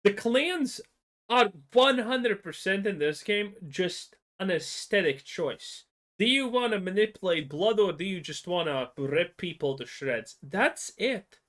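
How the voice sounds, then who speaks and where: Streamer guy, high animated voice, blunt, swears, casual reaction talk. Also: measured pace. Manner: blunt, profane, heated opinion.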